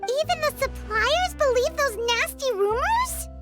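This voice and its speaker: A woman voicing a small, curious childlike companion in a video game, squeaky and earnest. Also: animated, measured pace. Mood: puzzled, worried. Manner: earnest, questioning. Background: prominent background music.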